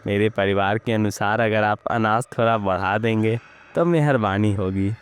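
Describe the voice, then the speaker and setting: A man voicing a poor, hungry farm labourer in an animated village story, troubled and pleading in manner. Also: on the low side, normal speed, clear, resonant tone, natural voice. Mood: troubled, humble.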